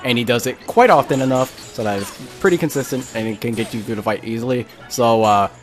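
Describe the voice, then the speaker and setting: A man's voice: medium pitch, fairly quick. A man hosting a let's play, giving casual commentary and thinking aloud as he goes. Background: faint game music.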